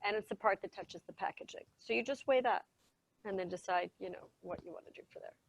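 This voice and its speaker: A woman's voice: mid-range voice, fast, vocal fry, slight background noise, rough recording. A woman giving a casual, enthusiastic talk on how cheese is made, often correcting herself mid-sentence, over a video call. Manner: chatty, enthusiastic, self-correcting. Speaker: cheese expert presenter.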